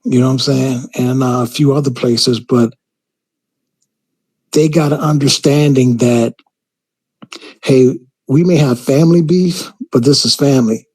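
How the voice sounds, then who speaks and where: A man calling in to a talk show, speaking in a halting way with many fillers and restarts. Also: mid-range voice, a little mellow, breathy edge, dry recording, rough recording. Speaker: caller. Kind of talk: call-in commentary.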